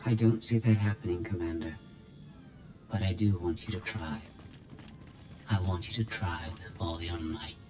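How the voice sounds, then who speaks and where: An actress playing a rogue female droid mastermind in a video game, deliberate, clinical and gloating, with a synthetic robotic tone. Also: extremely low pitch. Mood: cool, taunting. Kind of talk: video game villain acting. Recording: game music under the voice.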